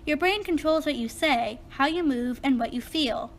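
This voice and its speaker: A woman's voice: high-pitched, moderate pace, slight background noise, natural voice. A woman playing a matter-of-fact young girl in a children's puppet show, speaking in an earnest, plain-spoken way. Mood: earnest, explanatory.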